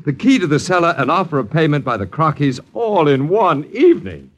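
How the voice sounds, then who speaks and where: A man's voice: on the high side, lively intonation, fairly quick. A sardonic American lodger in an old-time radio play. His delivery is florid and theatrical, swooping up and down as he needles his miserly landlords with mock grandeur. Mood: grandly ironic. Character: sardonic, wordy male boarder mocking his miserly landlords. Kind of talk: 1950s American radio drama acting. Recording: 1950s radio broadcast recording.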